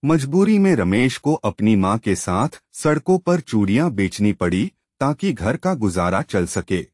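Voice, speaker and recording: A man's voice: on the low side, big pitch swings, unhurried, a little mellow, no echo, clean studio sound. A man narrating a scripted motivational story in an earnest, emphatic storytelling manner.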